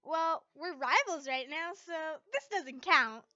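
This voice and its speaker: A woman's voice: high voice, expressive, slow, sharp tone, no room sound, dull audio, pitched above the performer's own voice, heavily acted. A woman voicing a sporty teenage girl, heroine of an anime story, offhand and playfully competitive.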